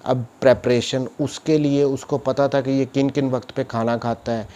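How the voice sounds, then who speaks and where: A man's voice: medium pitch, flat delivery, normal speed, mellow, dull audio. A man with a Pakistani accent giving an explanatory law lecture, mixing in English terms and answering his own questions step by step.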